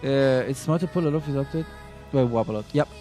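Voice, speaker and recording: A man's voice: medium pitch, quick pace, dark tone, faint music behind. A man streaming and chatting casually about a game, rambling with frequent restarts and self-corrections.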